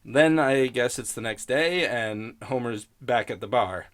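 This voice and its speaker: A man hosting a TV criticism podcast in a casual, rambling, halting and sardonic way, prone to swearing. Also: medium pitch.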